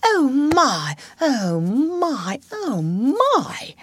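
A woman's voice: mid-range voice, big pitch swings, takes it slowly, a little mellow, dry recording, pitched above the performer's own voice. A woman voicing a shy, polite, curious male mole in a storybook, speaking in a timid, questioning way. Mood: overwhelmed. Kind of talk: storybook character reading.

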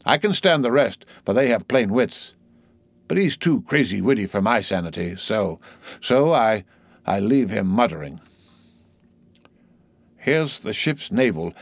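A man's voice: on the low side, lively intonation, a little slow, no echo. A man voicing a jocular old sailor who mutters exclamatory asides to himself in a theatrical storybook reading. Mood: uneasy.

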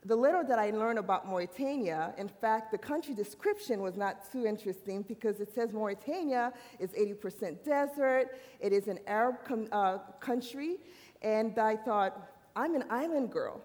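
A woman from Haiti giving a personal faith testimony at a university worship service, earnest and halting, often restarting her sentences. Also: fairly high pitch, animated, darkish tone. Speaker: worship speaker. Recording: long hall reverb.

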